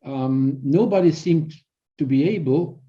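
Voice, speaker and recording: Male coach as interview guest, middle-aged, Belgian accent, slightly high, dull band-limited sound, halting explanatory delivery. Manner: halting, repeats words.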